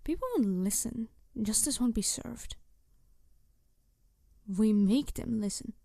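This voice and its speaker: Woman voice actor as a snarky, bitter female supervillain with ideals; high voice, close dry sound, intense roleplay delivery. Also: slow pace, creaky, no echo, exaggerated voice. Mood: accusing. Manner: snarky, intense, sighing, shifting from emphatic to hushed. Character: snarky, embittered, idealistic female supervillain confronting her nemesis. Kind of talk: ASMR audio roleplay monologue to the listener.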